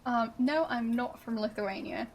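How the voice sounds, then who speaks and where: A woman streamer chatting casually with her viewers, relaxed and amused as she answers their guesses. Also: a little high, unhurried, slight background noise.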